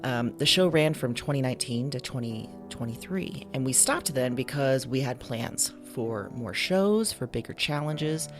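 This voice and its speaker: A woman podcast host talking casually to listeners, introducing shows and chatting. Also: extremely low pitch.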